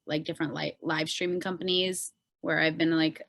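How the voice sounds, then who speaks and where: A woman's voice: sits low, moderate pace, gravelly, dry recording, studio quality. A woman chatting casually and informally as a podcast guest, telling things with lots of "like". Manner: informal, peppered with "like", animated retelling. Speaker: podcast guest, solo pop artist and content creator. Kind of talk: casual interview chat.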